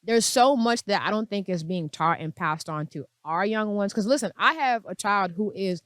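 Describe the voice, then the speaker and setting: A woman from Kenya, a DJ guest on a studio podcast, telling stories in an animated way with playful asides. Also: mid-range voice, normal speed, dry recording. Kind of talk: casual chat.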